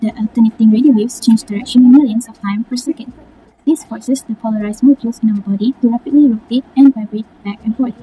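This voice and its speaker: Very dark-voiced young woman, speaking fast. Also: on the high side, slight background noise, low-quality recording. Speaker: student presenter. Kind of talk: scripted technical class presentation.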